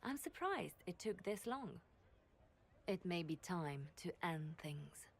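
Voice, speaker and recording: A shrewd, wistful court diplomat from a fantasy game. Her slightly low voice is solemn and measured, with a poetic turn. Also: sing-song, gravelly, slight background noise, exaggerated voice. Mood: grave, gentle. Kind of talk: video game voice acting.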